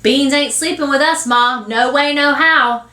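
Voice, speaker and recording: A whiny, stubborn storybook boy of about nine, as a woman reader puts him on. He is high-pitched and protesting, heard in an echoey room. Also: quick pace, close to an everyday voice. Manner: whining, protesting.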